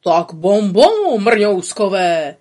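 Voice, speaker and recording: Bossy, show-off little male bug from a storybook, swinging pitch; woman reader doing it. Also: lively intonation, a bit bright, close to an everyday voice. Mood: teasing. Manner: brash, teasing, showy.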